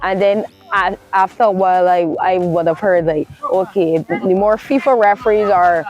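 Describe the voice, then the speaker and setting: A woman's voice: medium pitch, fairly even intonation, moderate pace, a little mellow, muffled recording, soft background music. A woman from Barbados with a Caribbean accent, telling her story casually as an interview guest and often correcting herself as she goes.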